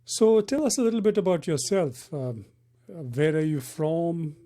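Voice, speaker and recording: A man's voice: a little high, expressive, moderate pace, warm tone. A man hosting an interview, chatty and friendly, asking questions with many fillers. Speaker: interview host. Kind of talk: casual interview.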